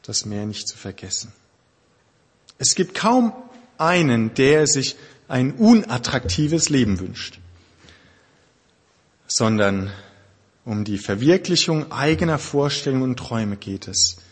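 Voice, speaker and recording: A German man preaching a sermon in a thoughtful, conversational way, pausing often with hesitations. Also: mid-range voice, big pitch swings, breathy edge, big-room sound. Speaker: pastor.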